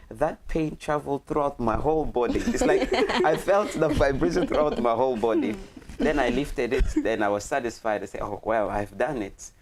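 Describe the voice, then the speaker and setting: A young man from Congo, a student guest telling anecdotes casually in a playful, joking way. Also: mid-range voice. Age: young adult. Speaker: student guest on a talk show. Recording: clearly audible background noise.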